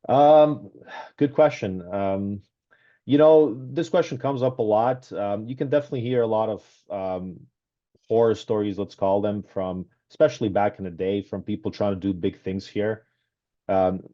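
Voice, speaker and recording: A man raised in Canada, speaking as a guest on a video call interview through a headset, talking casually with many pauses and restarts. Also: fairly low pitch. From Serbia, raised in Canada. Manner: hesitant, many ums and restarts. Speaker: guest, author. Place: small room at home. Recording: dull lo-fi video call audio.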